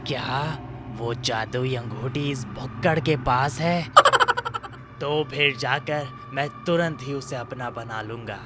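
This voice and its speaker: A man's voice: mid-range voice, slow pace, very noisy, lightly acted. A man playing a menacing, grandiose sorcerer hungry for power in a children's cartoon story. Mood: scheming. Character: scheming, power-hungry male sorcerer of the cave. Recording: music behind the voice.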